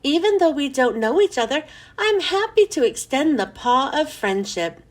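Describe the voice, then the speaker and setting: A little storybook hamster acted out by a woman reader, friendly and keen to please. The voice is high and very lively, with polite, slightly formal, earnest phrasing. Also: normal speed. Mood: eager, calling out. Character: small male pet hamster, friendly, polite, eager.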